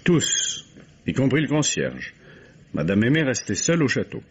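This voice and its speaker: An actor playing a middle-aged count and bereaved husband, hesitant, formal and courteous, in an old radio mystery. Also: male voice, medium pitch, wildly swooping pitch, normal speed, husky. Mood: defensive, insistent. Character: count, grieving husband, writer, polite suspect being questioned. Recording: dull vintage radio drama recording.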